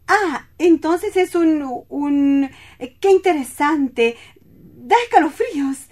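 An actress playing a flamboyant, self-important international opera diva in a radio play, with grand, emphatic delivery. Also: female voice, sits high, slow, a little mellow. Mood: excited curiosity.

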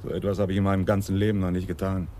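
Guy playing cool cocky suspect, dark quick voice, curt, bit of background ambience, radio crime drama. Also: low voice, flat delivery, slight background noise, natural voice. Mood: defiant. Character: defiant, cool male suspect nicknamed tomcat. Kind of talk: 1980s German radio play acting.

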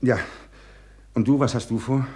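A man's voice: mid-range voice, steady tone, moderate pace, warm tone, natural voice. An actor playing a tense, impatient father who tries to stay reasonable, in clipped phrases in a German radio play.

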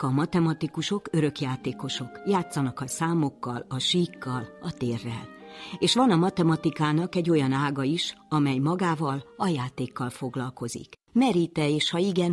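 A man presenting a radio programme in scripted narration. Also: fairly high pitch. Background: music.

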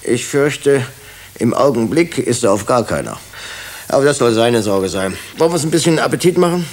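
A mid-pitched male hospital colleague in a radio crime play. He sounds sardonic and fatalistic, delivering his remarks with laconic, dry irony. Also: expressive, normal speed. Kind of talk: German radio drama dialogue.

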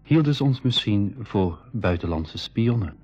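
An actor playing an eager young adventurer nephew who narrates and talks in a radio drama. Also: male voice, fairly low pitch, animated, a little slow, a little mellow, faint music behind. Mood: storytelling. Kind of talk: 1980s school radio drama, narration and dialogue. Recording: dull, muffled radio play recording.

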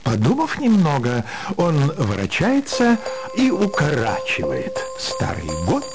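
A man's voice: a little high, huge pitch swings, unhurried, loud music behind the voice. A man narrating a scripted story in a theatrical radio style.

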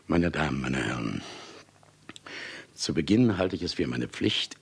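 Gravelly, menacing, cynical writer. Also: male voice, rumbling low, heavily acted. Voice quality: gravelly, husky. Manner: grandiloquent, sardonic, chuckling, theatrical. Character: cynical, menacing famous male writer who murders for material. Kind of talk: German radio play acting.

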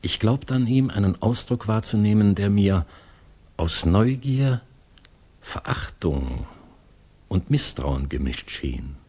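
An actor playing a courteous, reserved middle-aged English gentleman in a 1960s radio drama, speaking drily and deliberately. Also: fairly low pitch, lively intonation, breathy edge, exaggerated voice. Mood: reflective. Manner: polite, dry, deliberate. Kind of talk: 1960s German radio drama acting, dialogue and narration.

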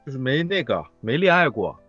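A man's voice: medium pitch, very animated, brisk, fairly bright tone, husky. A man chatting casually as a guest answering quiz questions in an informal way. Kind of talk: casual chat. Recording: dull lo-fi audio with music behind.

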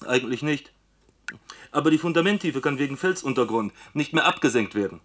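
A man's voice: medium pitch, animated, a little fast, slight background noise, close to an everyday voice. Man acting engineer, medium voice, matter of fact technical talk, radio play.

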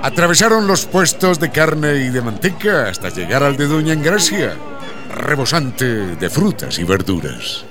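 A man narrating a radio drama from a script, with expressive, descriptive, theatrical phrasing. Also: mid-range voice, a little slow, music in the background.

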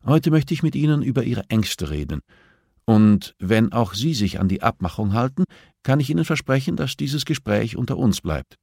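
Casting: male reader as female prison therapist, audiobook. Measured, polite, probing, pitched a little up, close dry sound. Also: very animated, vocal fry, a little mellow, no room sound. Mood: composed, assuring. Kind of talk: audiobook character dialogue.

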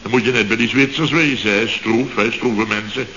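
A man's voice: fairly low pitch, steady tone, normal speed, pushed and bright, a little hiss or hum. A man playing a construction boss, telling a comic story in a radio sketch and peppering it with little tags. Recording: dull, echoey old radio recording.

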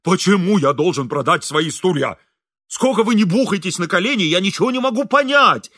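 A man voicing an excitable, gluttonous, henpecked engineer in a comic audiobook, calling out melodiously and indignantly. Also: a little high, unhurried. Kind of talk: audiobook character acting.

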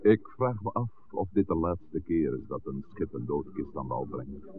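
An actor playing a reflective, cautious older village priest in a 1960s radio drama, speaking formally and with measured phrasing. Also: male voice, a bass voice, sing-song, gravelly, exaggerated voice. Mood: pensive, wistful. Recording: noisy, tinny old radio recording.

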